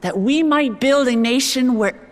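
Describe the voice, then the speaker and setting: A woman voicing an earnest young princess and hopeful heir, giving a measured, sincere speech in a video game cutscene. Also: high voice. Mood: hopeful, resolute.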